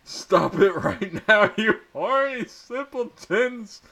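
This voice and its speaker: A man voicing a stern, outraged professor who shouts scoldings in a comic story reading. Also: sits high, expressive, slow, pitched above the performer's own voice, heavily acted.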